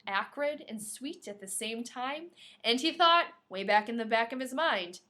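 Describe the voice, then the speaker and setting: A high, very bright woman's voice with a playful podcast-host manner. She moves between dramatic read-aloud delivery and informal banter. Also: animated, normal speed. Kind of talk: reading a novel aloud with casual banter.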